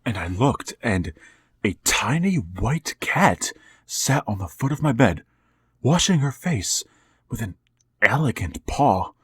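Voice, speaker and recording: An actor playing an aging father in a wheelchair, narrating a radio horror drama in a slow, halting, hushed manner. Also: medium pitch, very animated, slightly rough, dry recording, clean studio sound, exaggerated voice. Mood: haunted. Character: older man father in a wheelchair, haunted, resigned narrator. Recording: music bed under the voice.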